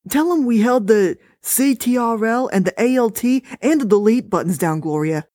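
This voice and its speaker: A woman voicing a grumpy older husband, talking slowly in a flat, grumbling way. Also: a little high, no echo, studio quality, lightly acted.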